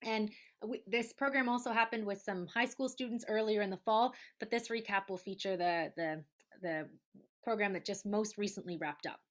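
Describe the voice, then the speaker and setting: A female host presents in an informal, conversational style. Her voice sits at an average pitch for a woman. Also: normal speed.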